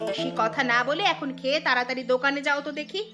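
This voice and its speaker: A woman's voice: sits high, steady tone, quick pace, pushed and bright. A woman voicing a sarcastic, scolding village shopkeeper's wife in a cartoon story. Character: nagging, sharp-tongued village shopkeeper's wife. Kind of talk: Bengali cartoon story dialogue. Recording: clear music bed behind voice.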